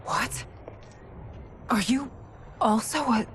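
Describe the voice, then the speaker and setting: A woman's voice: a little high, lively intonation, slow pace, slight background noise. An actress playing a proud, wistful warrior queen in a video game, speaking formally and earnestly. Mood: bewildered. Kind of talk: video game cutscene acting.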